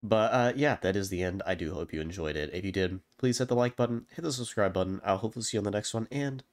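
A man's voice: lowish voice, fast. A man with a cold, sounding congested, giving rambling, wry and self-deprecating commentary over his own gameplay as a channel host.

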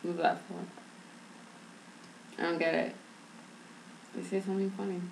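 A woman's voice: lowish voice, flat delivery, a little slow, vocal fry. A young woman in casual banter, teasing and blunt, filmed on a webcam in a small bare room. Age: young adult. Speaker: co-host. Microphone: laptop webcam across the table. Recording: echoey room with faint hiss.

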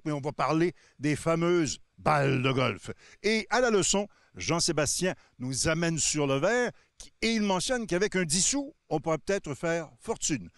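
Older host, dry and bright. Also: male voice, fairly high pitch, no room sound. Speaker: show host. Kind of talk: scripted show intro. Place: outdoors on a golf course. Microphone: handheld mic.

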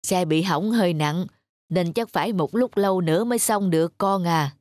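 A woman's voice: lowish voice, normal speed, a little mellow, slight background noise, close to an everyday voice. A woman voicing a kindly, helpful elderly grandfather in a cartoon story. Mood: reassuring.

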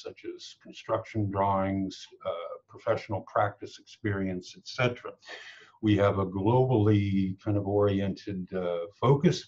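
A middle-aged American man speaks as a university lecturer, in a somewhat low and husky voice. He talks in a measured, thoughtful way, pausing often with "uh" and "okay". The recording sounds a bit dull and lo-fi, as if made on a camera in a small office. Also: darkish tone. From United States. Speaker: associate professor. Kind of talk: course promotion talk to camera.

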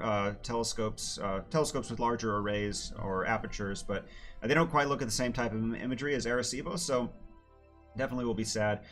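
A man in his thirties, slightly hoarse, hosting a casual science livestream with plenty of "uh" fillers. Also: medium pitch, a bit bright. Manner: friendly, filler-heavy with many "uh"s. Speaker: planetarium educator hosting a livestream. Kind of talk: casual live-stream talk.

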